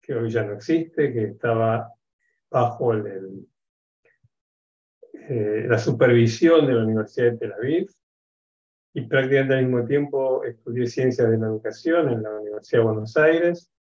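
A man in his sixties from Argentina with an Argentine accent, speaking as an interview guest on a video call from his home office, in a measured, reflective way with many hesitations. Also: medium pitch, a little mellow, highs cut off. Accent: Argentine Spanish. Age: 60s. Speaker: guest, education expert. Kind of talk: interview conversation.

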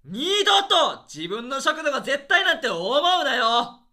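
A man acting as an overbearing, pompous theatre director in a radio drama, gruff and scolding in heated comic dialogue. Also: very high-pitched, very animated, measured pace, sharp tone, heavily acted. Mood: furious. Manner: gruff, scolding, rough-spoken.

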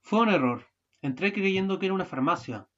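A man in a student radio play acting a hurried, irritable husband, with a curt, grumbling delivery. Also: high-pitched, expressive, fairly bright tone, dull audio. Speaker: radio drama actor.

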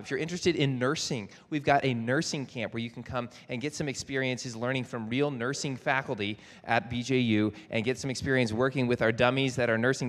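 A man giving an informal announcement to an audience as a university presenter in a large, echoing sanctuary. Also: mid-range voice. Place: large church sanctuary, indoors. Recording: reverberant large hall.

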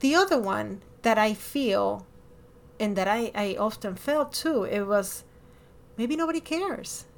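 A woman with a Latin American Spanish accent talking casually and expressively as a podcast host, with animated emphasis. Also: mid-range voice, unhurried, vocal fry. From born in Miami, lived over a decade in Ecuador. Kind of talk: casual personal conversation.

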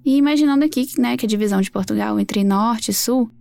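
Woman, Brazilian accent, fairly high voice, podcast host, conversational storytelling, dry close recording. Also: fast talker, vocal fry, a little mellow, no echo. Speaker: true crime podcast host.

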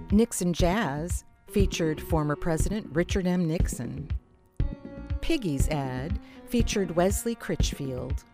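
Slow, low-voiced woman announcer over music. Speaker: credits announcer. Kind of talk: scripted radio drama credits. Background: music with drums.

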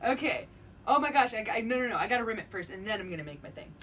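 Woman, higher-pitched voice, casual cocktail demo chat, playful, jokey, casual swearing, slightly distant mic. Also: big pitch swings, rapid-fire, a bit bright. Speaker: co-host of a cocktail show. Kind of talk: casual chatty drink-making demonstration. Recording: distant mic with background noise.

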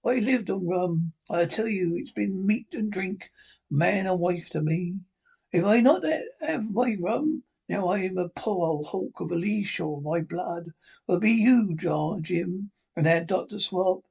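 A man voicing a dying, rum-craving old sea captain in a feeble, husky voice, pleading and cursing. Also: high voice, lively intonation, a little mellow, full character voice. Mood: ranting. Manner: pleading, wheedling, cursing, slow and drawn out. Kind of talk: audiobook character voice.

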